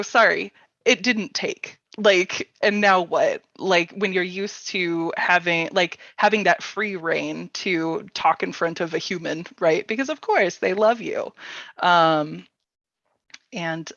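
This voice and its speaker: A woman chatting casually about roleplaying games, informal and halting as she thinks aloud. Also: lowish voice, fairly bright tone. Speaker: panelist at a tabletop roleplaying storytelling workshop. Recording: roomy, echoey, slightly dull audio.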